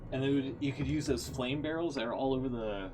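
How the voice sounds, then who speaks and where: A man's voice: mid-range voice, brisk, music in the background, rough recording. A man streaming a game and giving casual, informal, sweary reaction commentary.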